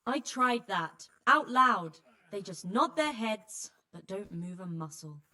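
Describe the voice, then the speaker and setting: An actress playing a weary, blunt healer who tends refugees, with dry exasperation, in a dramatic video game. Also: female voice, mid-range voice, lively intonation, slow pace, sharp tone. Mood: weary, bitter. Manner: exasperated, dry, plain-spoken.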